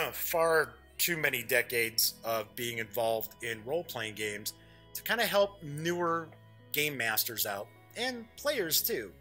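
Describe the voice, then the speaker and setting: A man's voice: mid-range voice, sharp tone. A middle-aged man hosting a tabletop gaming web show, chatting casually to viewers in a rambling, self-correcting way.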